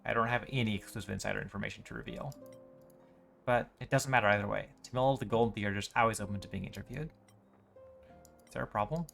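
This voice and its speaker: A man's voice: on the low side, quick pace, gravelly, pauses often, fairly bright tone, soft background music. A man voicing a proud, worried female musical theater performer with formal phrasing, from game dialogue. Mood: proud, aggrieved. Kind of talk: reading game dialogue aloud.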